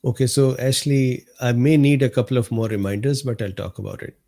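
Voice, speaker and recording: A man with a mellow, slightly hoarse voice hosts a live stream from his desk mic. He reads viewer comments aloud in an unhurried, easygoing way. He laughs often and answers viewers by name. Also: medium pitch. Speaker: host of a live medical talk stream. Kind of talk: casual livestream chat reading viewer comments.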